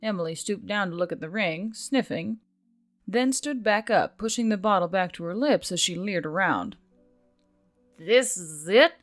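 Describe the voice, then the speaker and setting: A woman's voice: mid-range voice, normal speed. A woman reading scripted story narration as the narrator, with music behind her.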